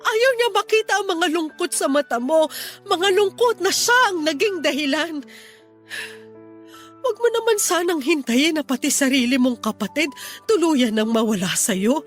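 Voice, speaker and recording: Desperate Filipino wife, high voice, earnest begging, emotional radio drama, music underneath. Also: female voice, expressive, moderate pace. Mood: tearful begging. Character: pleading, desperate wife and mother, younger sister-in-law.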